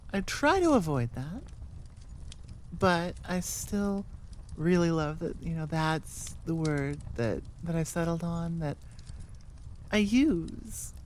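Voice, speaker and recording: Slow-talking woman with a lowish voice. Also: darkish tone, a little hiss or hum. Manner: rambling, playfully self-mocking. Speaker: audio channel host and performer. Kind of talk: casual unscripted outro chat.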